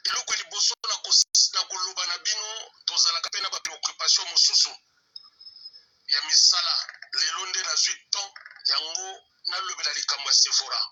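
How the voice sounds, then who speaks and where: A man's voice: sits high, big pitch swings, normal speed, crowd noise, low-quality recording. A man recording a voice message that is played on air, greeting his listeners in a declamatory, chant-like way. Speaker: voice-message sender.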